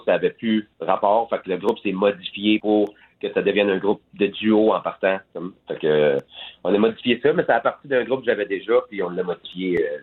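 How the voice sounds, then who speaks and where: Low-voiced man on a phone line. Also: breathy edge. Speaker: guitarist, interview guest. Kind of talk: casual interview chat.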